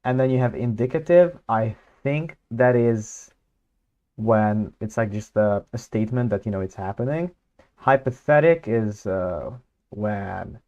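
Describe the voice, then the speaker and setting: A man's voice: on the low side, darkish tone, lo-fi sound. A young man whose first language is Hungarian, chatting casually on a livestream and thinking aloud, hesitant with many fillers and restarts. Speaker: streamer.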